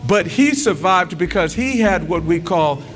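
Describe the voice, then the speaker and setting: A man's voice: sits high, animated, a little slow, soft background music. A man telling a folktale aloud to an audience in a dramatic way, calling out to his listeners. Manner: dramatic, call-and-response with the audience. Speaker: storyteller.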